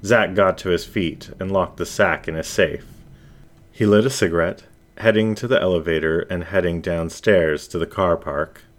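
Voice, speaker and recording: A man's voice: low-pitched, moderate pace. A man narrating a scripted story as its reader.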